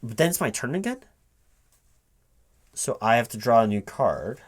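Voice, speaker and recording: A man in a spoken, unwhispered ASMR roleplay, talking casually and haltingly to an unheard partner as if puzzling things out aloud. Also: medium pitch, very animated. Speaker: recruiting manager interviewing a gamer in a one-sided roleplay. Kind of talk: spoken ASMR roleplay, casual one-sided conversation, not whispered.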